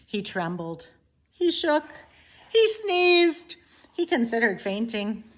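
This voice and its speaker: A woman reading a children's story aloud in an expressive, deliberate way with dramatic pauses. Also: a little high. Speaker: teacher reading a book aloud.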